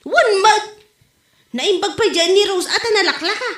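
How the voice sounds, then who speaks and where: An echoey room carries a woman playing a chatty, vain Ilocano mother in a comedy drama. Her voice is very high and swings widely as she banters and exclaims like a squabbling wife. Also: expressive, unhurried. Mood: excited, evasive. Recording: roomy, echoey home recording.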